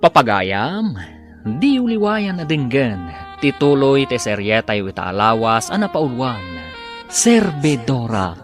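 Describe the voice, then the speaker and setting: Male narrator, Filipino accent, animated mid-pitched voice, dramatic scripted announcing, faint music bed. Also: a little slow.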